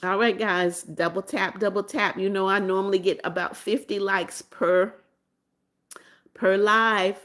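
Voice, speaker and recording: A seventy-year-old woman hosting a relaxed livestream, reading viewers' comments aloud and chatting back to each one. Her voice sits at an ordinary middle pitch. She repeats phrases, refers to herself by a motherly nickname, and fills gaps with ums and small restarts. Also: measured pace. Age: 70s. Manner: calls herself Mommy Beth and repeats phrases. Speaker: livestream host. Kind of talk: casual live-stream chat.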